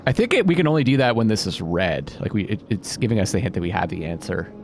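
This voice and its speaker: A man's voice: lowish voice, very animated, fast, background noise. A man playing a video game and commenting casually, thinking aloud and reading on-screen text as he goes. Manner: thinking aloud, puzzling things out.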